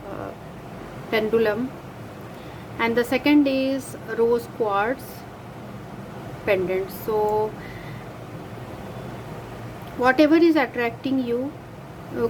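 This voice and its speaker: High-voiced woman with an Indian accent. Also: background noise. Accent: Indian English. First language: Hindi. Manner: hesitant, many uh fillers and self-corrections. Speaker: card reader. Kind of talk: casual card reading to camera.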